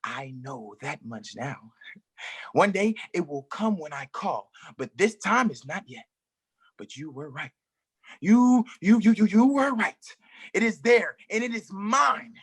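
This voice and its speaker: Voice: mid-range voice, lively intonation, unhurried, breathy edge. Someone reading a stammering, fretful male magician. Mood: defiant. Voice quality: stammering, theatrical. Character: anxious male wandering magician, stammering and grandiose. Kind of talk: play table read. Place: live reading at an art exhibition.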